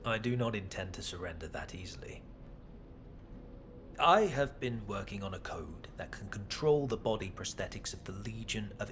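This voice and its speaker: A man playing a composed, calculating scientist official in a video game, speaking in a measured, formal, condescending way. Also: on the low side, noisy background, close to an everyday voice. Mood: quietly resolute. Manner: measured, formal, breathy in places. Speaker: voice actor. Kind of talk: dramatic game dialogue.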